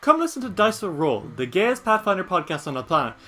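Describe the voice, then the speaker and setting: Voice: mid-range voice, sing-song, quick pace, sharp tone. An announcer reading a scripted podcast advertisement in a playful, upbeat manner. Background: music.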